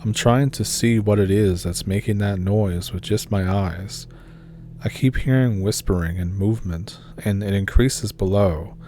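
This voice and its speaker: A man calmly reading scary stories aloud as the narrator of a horror channel. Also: fairly low pitch, measured pace, slight background noise.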